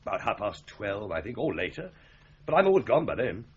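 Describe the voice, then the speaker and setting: An actor playing an easygoing British office solicitor in a radio crime drama, casual and breezy. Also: male voice, fairly low pitch, sing-song, brisk, slightly rough, heavily acted. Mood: offhand. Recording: muffled radio drama recording with hiss.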